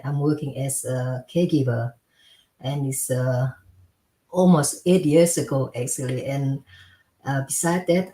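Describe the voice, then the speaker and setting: Woman, from indonesia, english, deep voice, hesitant, echoey room. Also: warm tone. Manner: hesitant, many fillers and restarts. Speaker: interview guest, caregiver. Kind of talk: casual interview chat.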